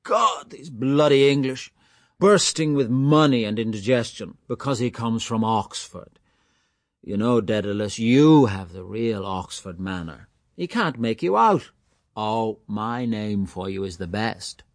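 A man's voice: fairly low pitch, expressive, dry recording, studio quality. A man voicing a mocking, theatrical young man who drags out his words in mock-grand style. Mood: boisterous. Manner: exaggerated, drawn-out mock-grand delivery.

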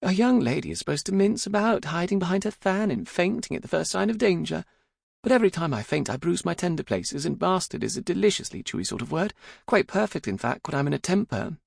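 Impudent tomboy daughter, twenty, played by a male narrator, raised pitch, playful defiance, storybook drama. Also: very animated, fast, vocal fry, no room sound, studio quality, full character voice. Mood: saucy. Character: spirited, impudent twenty-year-old daughter, mischievous tomboy.

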